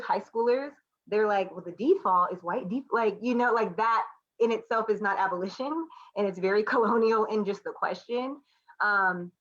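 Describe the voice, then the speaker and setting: Candid woman on dull, lo-fi audio. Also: mid-range voice. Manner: rambling, candid, retells exchanges in quoted dialogue. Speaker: artist and actor on a discussion panel. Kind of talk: casual panel discussion.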